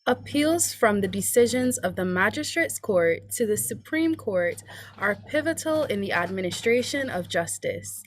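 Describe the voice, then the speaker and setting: A woman with a Trinidadian accent, a workshop participant reading a prepared statement over a video call, warm and smiling with a sing-song lilt. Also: medium pitch, poor audio.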